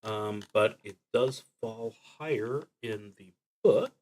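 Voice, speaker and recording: A man's voice: lowish voice, slow pace, darkish tone. A man narrating his solo roleplaying game unscripted, thinking aloud in a hesitant, reflective way. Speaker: streamer playing solo tabletop roleplaying games. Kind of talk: unscripted play-through commentary, thinking aloud.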